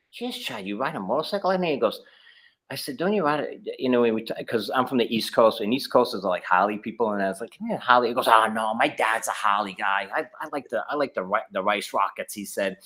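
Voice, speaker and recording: A man's voice: medium pitch, pushed and bright. A middle-aged man from New England with a Boston accent, telling long stories as a podcast guest, rambling with many restarts. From New England, United States. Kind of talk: casual podcast chat.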